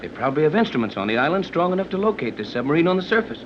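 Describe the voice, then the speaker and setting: Lively, quick-talking American adventurer. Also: male voice, on the high side. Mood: shrewd, concerned. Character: breezy, shrewd American male adventurer. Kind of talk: 1930s radio serial acting. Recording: dull, noisy old 1930s radio recording.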